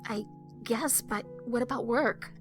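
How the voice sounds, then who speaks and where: A woman's voice: on the high side, unhurried, over music, close to an everyday voice. A woman acting in an audio drama as an earnest, uneasy teenage daughter, hesitant, subdued and confessional.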